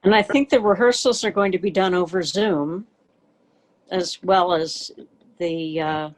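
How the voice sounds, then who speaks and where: A woman's voice: fairly low pitch, unhurried. A woman talking informally as a club member on a video call. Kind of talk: informal meeting talk.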